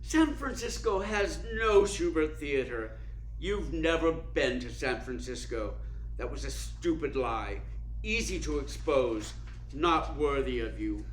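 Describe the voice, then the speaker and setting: An actor playing a suave, cynical and domineering theater critic in a radio play, speaking in a cold, precise, imperious way. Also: male voice, a little high, lively intonation, slow. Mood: menacing, exposing. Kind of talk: dramatic radio play acting. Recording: roomy echo with clear background noise.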